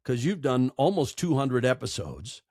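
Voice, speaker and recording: A man's voice: medium pitch, big pitch swings, normal speed, a little mellow, no room sound, clean studio sound. A man speaking as a podcast guest, giving conversational advice emphatically and repeating phrases for effect.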